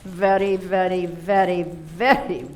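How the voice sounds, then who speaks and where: Woman playing a gushing, slow-talking Spanish socialite. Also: on the low side, little pitch movement, a little mellow. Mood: gushing, coy. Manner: theatrical, gushing, drawn-out emphasis. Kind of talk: comic radio play acting. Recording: distant mic with background noise.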